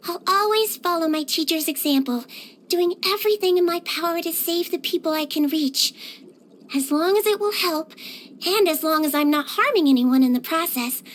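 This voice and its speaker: A woman voicing a small, cute non-human girl nurse from a video game, speaking in a sweet, gentle, childlike and earnest way. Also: very high voice, a little hiss or hum. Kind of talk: scripted video game character voice lines.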